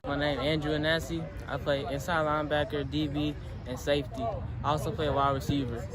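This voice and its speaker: A young male football player quickly introducing himself and the positions he plays. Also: fairly high pitch, level tone, a loud crowd. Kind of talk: brief self-introduction.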